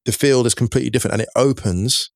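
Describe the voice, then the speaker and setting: A man's voice: mid-range voice, very fast, creaky, no echo, clean studio sound. A man chatting about football as a pundit, enthusiastic and prone to repeating words as he goes. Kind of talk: casual football chat.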